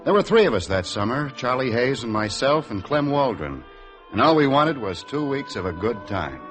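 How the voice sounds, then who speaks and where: A man's voice: mid-range voice, sing-song, normal speed, over music, natural voice. An actor playing a wistful young advertising man with a North American accent in a 1950s radio drama. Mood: wistful, reflective.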